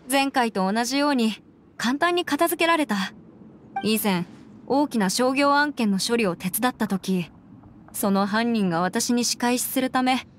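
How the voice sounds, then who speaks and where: A woman's voice: fairly high pitch, natural voice. A woman playing a composed, earnest young female adviser in an anime game, with matter-of-fact, mannish phrasing over background music. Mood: curious.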